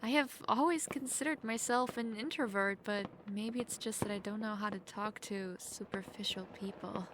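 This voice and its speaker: A young woman playing a sheltered, lonely princess who is playful, clingy and outspoken, in an expressive, chatty manner for an intimate roleplay audio drama. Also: mid-range voice, natural voice. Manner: expressive, chatty, swinging from giddy to wistful. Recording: faint background noise, hobbyist home microphone.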